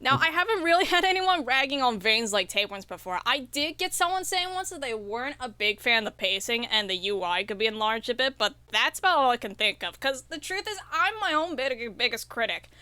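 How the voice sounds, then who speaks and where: Bright, crisp-voiced woman in casual interview. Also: fairly high pitch, animated, a little fast, few pauses, no echo. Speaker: podcast guest, indie horror game developer.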